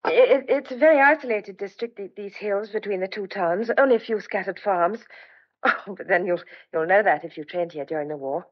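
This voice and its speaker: A woman's voice: mid-range voice, brisk, close to an everyday voice. A young woman playing a kindly, chatty farmer's daughter in an audio drama, polite and a little flustered. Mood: flustered, hospitable. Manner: polite, eager, slightly flustered. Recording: dull lo-fi audio.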